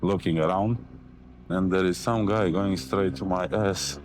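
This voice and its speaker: A man's voice: low-pitched, fairly even intonation, a little slow, a little mellow, slight background noise. A young man from Montenegro with a Montenegrin accent, rambling and swearing casually as he rides a motorcycle, talking into a helmet mic. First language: Serbian. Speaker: motovlogger. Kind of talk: casual riding commentary. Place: riding a motorcycle in city traffic.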